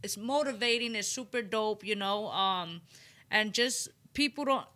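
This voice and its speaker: A woman's voice: mid-range voice, measured pace, bright, forceful tone. A woman hosting a casual interview, speaking in an encouraging, slangy way with frequent "you know". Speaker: interview show host.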